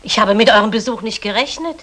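A woman's voice: a little high, lively intonation, fast, fairly bright tone. An actress playing a meddling, thrifty, condescending mother-in-law in a 1960s German radio play. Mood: brisk, scheming.

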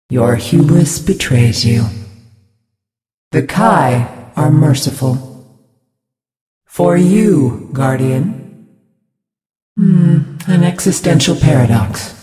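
A woman voicing a serene, righteous female twin spirit healer in a video game, speaking in solemn, measured pronouncements through an ethereal reverb. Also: low-pitched, warm tone, full character voice. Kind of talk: video game character voice lines. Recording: echoey distant reverberant sound.

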